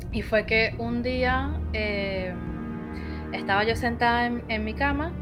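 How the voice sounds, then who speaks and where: Venezuelan woman, storyteller guest, lively animated telling. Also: mid-range voice. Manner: lively, laughing, animated retelling. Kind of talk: casual storytelling on a live stream. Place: home, lives in Buenos Aires.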